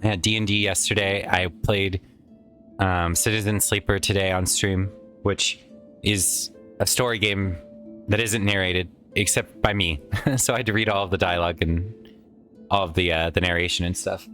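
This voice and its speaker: A man's voice: sits low, steady tone, moderate pace, sharp tone, soft background music. A man casually commenting while playing a video game, chatty and rambling with some swearing, his voice tired from a lot of recent use. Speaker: let's play host.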